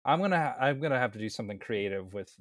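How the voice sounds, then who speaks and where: A young man co-hosting a casual podcast, relaxed and easygoing, often stumbling over his words. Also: mid-range voice, racing, dry recording, studio quality. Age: young adult. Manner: easygoing, stammers and restarts. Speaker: podcast co-host. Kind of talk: casual chat.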